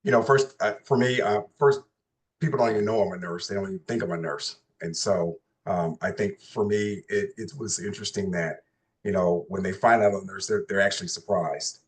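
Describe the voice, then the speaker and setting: Deep-voiced guy on a panel, earnest, says you know a lot. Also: a little fast. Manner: earnest, repetitive, many fillers. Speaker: panelist, hospital executive. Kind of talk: conversational panel answer.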